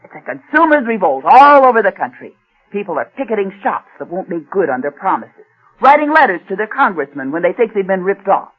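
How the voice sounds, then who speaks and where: A woman's voice: mid-range voice, sing-song, husky. A woman acting in a radio drama as an argumentative, insistent political operative, on a thin, phone-like old recording. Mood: persuasive. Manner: insistent, quick to contradict. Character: assertive female political operative, sharp and argumentative.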